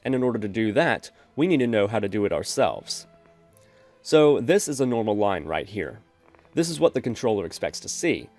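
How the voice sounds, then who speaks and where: Male tutorial host, mid-pitched voice-over, even explanatory delivery, faint music bed. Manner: steady explanatory, addresses viewers as folks. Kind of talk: screen-recorded coding tutorial.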